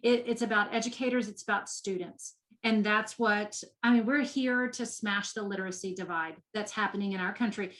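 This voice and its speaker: A woman's voice: medium pitch, fairly even intonation, moderate pace, few pauses, a bit bright. Passionate Texan woman. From Texas. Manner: passionate, emphatic, repeats for emphasis. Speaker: guest, nonprofit education executive. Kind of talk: interview.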